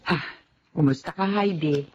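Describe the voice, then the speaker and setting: A woman playing a kind, patient housemaid who speaks hesitantly and gently in a Filipino radio-style melodrama. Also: deep voice, measured pace, a little hiss or hum. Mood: gentle, hesitant.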